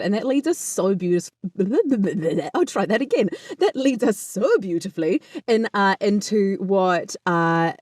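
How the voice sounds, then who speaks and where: A woman voice actor co-hosting a podcast, with a slightly deeper voice and a bubbly, enthusiastic way of talking broken up by frequent laughs. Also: a little mellow, no echo, clean studio sound. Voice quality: a little deeper voice. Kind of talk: casual chat.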